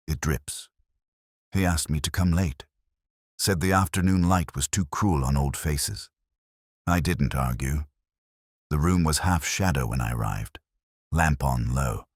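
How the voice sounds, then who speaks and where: A man narrating a scripted first-person detective story as a weary, introspective investigator, in measured, brooding, literary short phrases. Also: extremely low pitch, husky, no room sound, clean studio sound.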